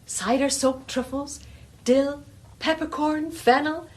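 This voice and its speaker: An actress playing a teasing housewife who talks like an old-fashioned country woman in a radio drama, in deliberate archaic rural dialect. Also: sits high, steady tone. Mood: teasing, coy.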